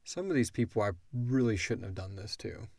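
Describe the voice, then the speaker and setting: A man's voice: lowish voice, fairly quick, gravelly, dry recording. A man hosting a podcast, casually discussing a story and acting out bits of dialogue as he retells it. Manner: animated retelling, acts out characters' lines. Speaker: podcast host and audio drama creator answering listener questions.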